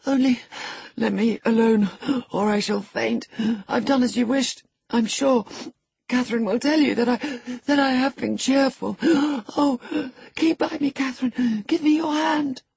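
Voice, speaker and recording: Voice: sits high, unhurried, creaky, darkish tone, slightly rough, pitched above the performer's own voice, heavily acted. A narrator voicing a frail, terrified, whining youth who pants and pleads, in an audiobook of a classic novel. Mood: panting, pleading. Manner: panting, pleading, faltering.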